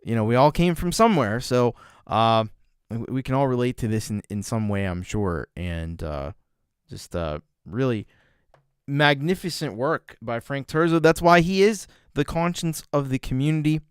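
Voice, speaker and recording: A man hosting a casual livestream, reacting to music and rambling warmly with many fillers and restarts. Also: medium pitch, lively intonation, moderate pace, clean tone, no echo, clean studio sound. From Canada. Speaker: music reaction show host.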